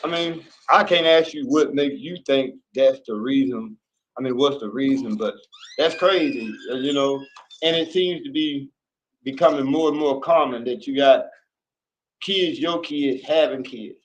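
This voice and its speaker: A man's voice: mid-range voice, a little slow, slight background noise, poor audio. A middle-aged man hosting a casual talk with his son, chatty and teasing, often stumbling and repeating words. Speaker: host.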